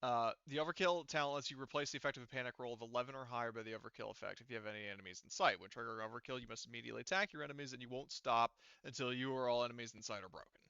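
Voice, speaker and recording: A man's voice: mid-range voice, steady tone, very fast, few pauses, bright, forceful tone, clear, resonant tone, no room sound, highs cut off. A man refereeing a tabletop role-playing game in casual talk, swearing freely. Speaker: game master.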